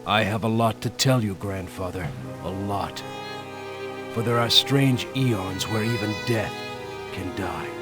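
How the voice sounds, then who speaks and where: A man's voice: on the low side, unhurried, lightly acted. An actor playing an earnest young man, the hero of a video game. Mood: reflective. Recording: loud music over the voice.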